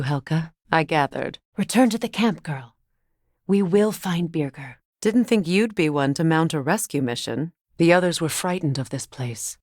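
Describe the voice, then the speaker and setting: A grave warrior goddess from a video game, with a low-pitched voice. She is composed and solemn, speaking in measured, clipped remarks. The sound is dry and close. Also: dry recording. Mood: urgent, searching, then wary, measured.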